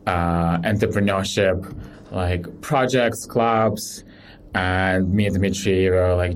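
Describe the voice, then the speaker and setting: A man in his thirties from Ukraine, a startup CEO guest telling his story in a relaxed, rambling way with many fillers. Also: sits low, slight background noise. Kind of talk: casual interview talk.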